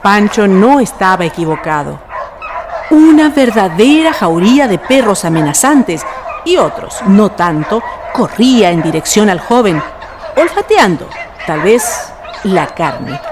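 A woman's voice: mid-range voice, very animated, unhurried, noisy background. A woman narrating a scripted radio play in a theatrical storytelling manner.

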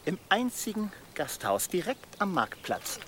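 An actor in a German radio drama playing a wary, hesitant medieval travelling merchant. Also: male voice, high voice, wildly swooping pitch, measured pace, a bit bright, slight background noise, heavily acted. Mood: matter-of-fact. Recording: clear outdoor ambience with birds.

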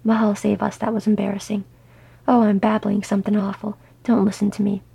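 Woman as a bashful, husky-voiced lovestruck male dwarf. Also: mid-range voice, flat delivery, mellow, heavily acted. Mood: flustered. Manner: bashful, halting, self-deprecating. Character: shy, flustered, lovestruck middle-aged male dwarf.

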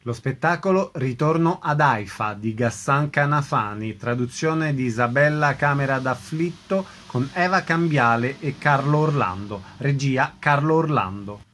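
A man hosting a radio theatre show, reading a scripted announcement in a brisk, informative way. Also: mid-range voice, a bit bright.

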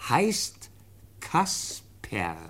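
An actor playing an educated, precise official in a German radio play, with a declamatory, deliberate manner. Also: male voice, fairly high pitch, huge pitch swings, fairly bright tone, heavily acted. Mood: solemn reading. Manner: declamatory, deliberate when reading aloud. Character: educated, precise 19th-century official reading out evidence. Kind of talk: 1950 German radio drama acting. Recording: vintage 1950 radio play recording.

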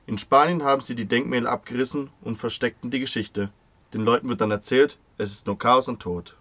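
A man's voice: mid-range voice, fairly even intonation, fairly quick, a bit bright. A man reading a translated interview as a radio voice-over.

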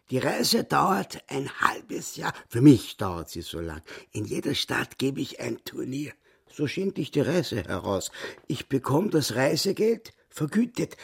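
Raspy, boastful little dwarf hustler. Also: male voice, mid-range voice, a little mellow, exaggerated voice. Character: boastful, touchy male dwarf chess hustler and swindler. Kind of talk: radio play acting.

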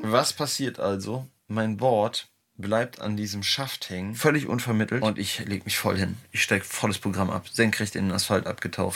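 A man recording a halting voice diary while in pain, sounding subdued and lonely. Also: lowish voice, gravelly, slightly rough. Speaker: audio diary speaker. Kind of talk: confessional voice diary.

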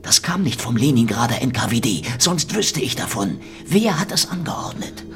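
An actor playing a hardboiled, cynical Soviet secret-police detective, talking in a dry, sardonic, probing way. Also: male voice, medium pitch, sing-song, moderate pace, over music. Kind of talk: radio drama acting.

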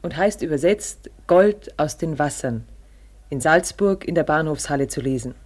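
A woman playing a calm, knowledgeable narrator, delivering scripted narration in a radio play. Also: low voice, normal speed, breathy edge. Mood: measured. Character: calm, knowledgeable female narrator explaining history.

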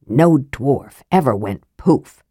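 A woman voicing a grumpy, skeptical old male dwarf who grumbles gruffly. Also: rumbling low, unhurried, a little mellow, no room sound, clean studio sound, pitched below the performer's own voice.

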